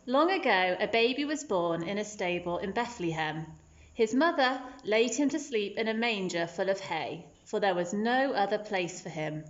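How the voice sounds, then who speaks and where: A woman's voice: mid-range voice, expressive, moderate pace, dull audio. A woman teacher reading a children's storybook aloud as scripted narration.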